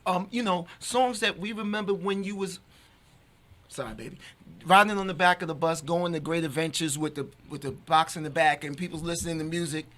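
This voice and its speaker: A man in his fifties with an American accent and a slightly high speaking voice. He talks casually as a singer being interviewed, telling stories and repeating short phrases to drive a point home. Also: fairly even intonation, fairly quick, pushed and bright. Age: 50s. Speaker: singer, guest in a married duo. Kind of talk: casual chat, storytelling. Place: radio studio.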